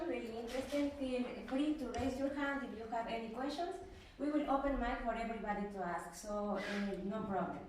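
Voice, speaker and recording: A woman with a slightly high voice hosts a panel discussion, addressing the audience as moderator. She sounds far from the microphone in a very reverberant room. There is clear noise over the recording. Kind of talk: event introduction to an audience. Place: large echoey room at a public event. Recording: very echoey hall, distant mic, noisy.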